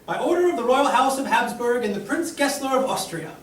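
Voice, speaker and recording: A man's voice: extremely high pitch, lively intonation, brisk, slight background noise. A man voicing a smug, taunting town guard at a table read, in a roomy room with a distant mic. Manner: sneering, taunting.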